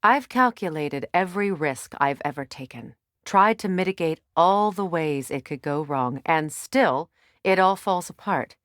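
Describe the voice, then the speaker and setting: A regal warrior goddess with a low, dry-recorded voice. She is guarded and solemn, with a commanding, measured delivery and dry wit. It is dramatic acting for a fantasy video game. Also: expressive, dry recording. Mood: bitter, wry.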